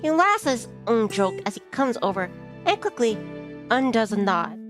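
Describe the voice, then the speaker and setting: A woman's voice: on the high side, sing-song, moderate pace. Woman narrating a visual novel over music. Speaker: streamer reading a visual novel aloud. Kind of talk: narration with casual playthrough asides. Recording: music behind the voice.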